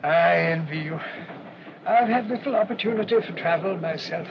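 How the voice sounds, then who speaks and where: An actor playing a humble, earnest English parish priest in a radio play, mild, hesitant and formally wordy. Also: male voice, on the high side, normal speed, vocal fry. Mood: awed, polite. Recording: dull, noisy old radio recording.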